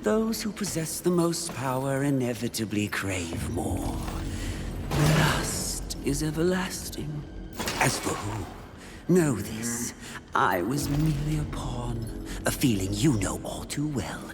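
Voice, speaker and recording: A man's voice: mid-range voice, sing-song, slow pace. A man playing a seductive, mocking demon of lust in a video game, theatrical, coaxing and taunting. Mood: sly, knowing. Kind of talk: dramatic video game acting. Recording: music under the voice.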